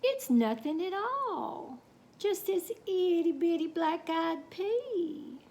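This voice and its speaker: A woman puts on a plucky storybook cowgirl. The voice is high and very changeable in pitch, spirited and folksy in manner. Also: big pitch swings, slow, a little mellow, exaggerated voice. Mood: amazed. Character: plucky, brisk Texas cowgirl ranch owner.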